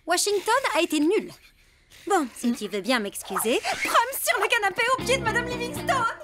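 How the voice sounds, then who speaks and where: A woman's voice: very high-pitched, sing-song, moderate pace, a bit bright, full character voice. A woman playing a haughty, sarcastic bird in a children's cartoon, blunt and snooty. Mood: disdainful. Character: haughty, sarcastic female bird disguised as pet. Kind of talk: French animated cartoon dub acting. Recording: music behind the voice.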